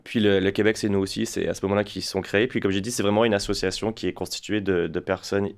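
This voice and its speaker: A man's voice: lowish voice, little pitch movement, fast, vocal fry. A man in his twenties from France, a guest spokesperson talking casually in an interview, with lots of hesitations and restarts. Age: 20s. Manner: hesitant, many fillers and restarts.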